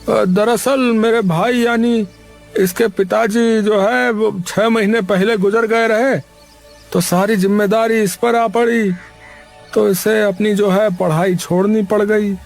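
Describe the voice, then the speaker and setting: A man voicing an elderly, talkative village uncle in a cartoon, with a gravelly voice. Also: high voice, steady tone, slow, soft background music, exaggerated voice. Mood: explaining. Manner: chatty, proud of his nephew. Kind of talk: cartoon voice acting.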